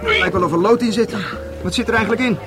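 High-pitched grumbling detective played by a man. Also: fast talker, over music, lightly acted. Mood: irritated. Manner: sarcastic, grumbling. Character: undercover police detective posing as grumbling market fabric trader. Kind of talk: radio drama acting.

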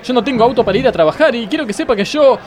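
An actor playing a self-pitying, pompous judge with an Argentine accent in a comic radio play. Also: male voice, very high voice, animated, rapid-fire, some chatter behind. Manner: whiny, pompous, speechifying. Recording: faint vehicle and street noise behind.

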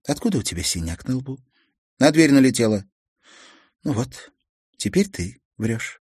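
A man voicing a sullen, defiant teenage girl who shouts back in shrill outbursts. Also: big pitch swings, moderate pace, husky, no echo, studio quality.

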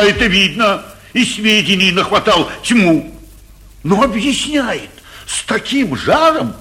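An actor playing an anxious, blustering middle-aged provincial mayor in emphatic, theatrical 1940s radio comedy style. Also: male voice, high-pitched, fairly bright tone, exaggerated voice. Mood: exasperated.

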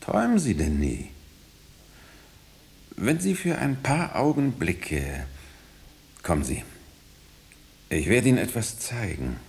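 Deep, creaky-voiced mysterious fugitive storyteller. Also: male voice, very animated, a little mellow, heavily acted. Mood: insistent, conjuring. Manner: halting, suggestive phrases, sometimes dropping to whispers. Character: mysterious injured man in hiding, insistent visionary storyteller. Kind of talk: radio play acting.

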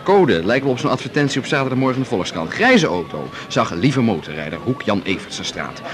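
Actor as wry Dutch bridegroom, animated delivery. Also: male voice, medium pitch, a little fast, crowd noise, close to an everyday voice. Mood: mock reciting. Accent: Netherlands Dutch. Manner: wry, mocking, repeats words. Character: wry musician bridegroom, teasing, faintly jealous. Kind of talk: radio drama dialogue.

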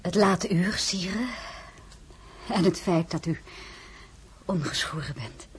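A woman playing a composed, plain-spoken highborn lady in a radio drama, formal and courteous. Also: slow, slightly rough, full character voice. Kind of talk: Dutch-language radio drama acting.

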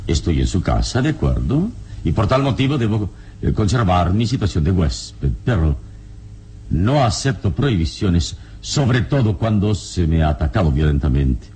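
An actor playing a serene, proud heroic adventurer in a radio drama, measured, dignified and theatrical. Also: male voice, low-pitched, sing-song, warm tone, husky, full character voice. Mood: firm, serene.

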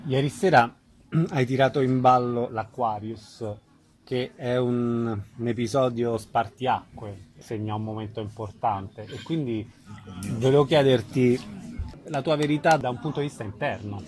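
A man's voice: mid-range voice, a little slow, a little mellow, soft background music. A man asking a question as interviewer at a live event.

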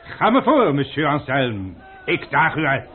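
A man's voice: a little high, sing-song, measured pace, a little hiss or hum. A man as a sly eager manservant. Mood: coaxing. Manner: coaxing, theatrical. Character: sly eager male manservant with culinary pride. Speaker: servant Clochedorn. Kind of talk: radio play acting. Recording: lo-fi old radio recording.

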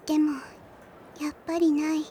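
Woman as a very high-voiced anime little girl. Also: level tone, warm tone, slight background noise, natural voice. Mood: tearful, distressed. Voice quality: childlike, high. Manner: halting, earnest speech. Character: tearful, attached little-sister girl in an anime. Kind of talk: Japanese anime audio drama acting. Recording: music bed under the voice. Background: music.

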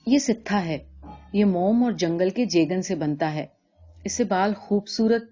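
A woman voicing a drunken, lonely, self-reproaching man in a story, with slurred, drawn-out, repeated words between laugh and sob. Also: mid-range voice, mellow, highs cut off, faint music behind, lightly acted. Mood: drunken, fawning.

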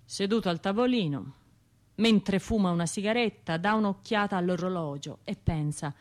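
An actress playing a wounded, bitter bombing survivor in a radio theatre monologue, confiding in colloquial speech. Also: female voice, mid-range voice, normal speed, darkish tone, lightly acted. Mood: haunted, sardonic. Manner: colloquial, confiding, wry, shifting between narration and imagined voices.

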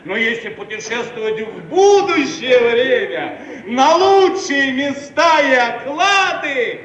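An actor playing a pompous, self-important Soviet bureaucrat, irritable and fawning, in an old radio stage comedy. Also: male voice, very high-pitched, lively intonation, slow, a bit bright, heavily acted. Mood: blustering. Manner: pompous, officious bureaucratic phrasing. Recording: roomy archive radio recording, distant, faint noise.

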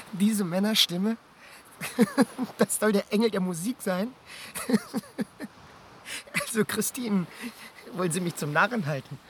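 An actor playing an agitated young suitor in a German radio drama. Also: male voice, sits high, takes it slowly, long pauses, a bit bright, a little hiss or hum. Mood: mocking disbelief. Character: agitated young suitor, mocking and pleading with his childhood sweetheart.

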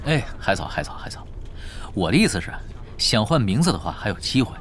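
A laid-back young gamer, swooping intonation. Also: male voice, fairly low pitch, very animated, brisk, a little hiss or hum. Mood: playful. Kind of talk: Chinese audio drama acting.

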